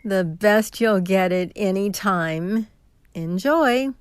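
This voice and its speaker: An actress playing a superstitious, earnest diner waitress with a folksy manner in a radio mystery drama. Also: female voice, medium pitch, animated, slow, background noise. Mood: welcoming.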